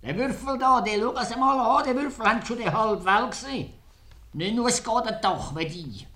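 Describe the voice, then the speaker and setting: A man's voice: sits high, moderate pace, pushed and bright. A man playing a boastful, jovial old country soldier who teases heartily in a 1950s radio comedy. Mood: boastful, mocking. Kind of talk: 1950s dialect-flavoured radio comedy acting.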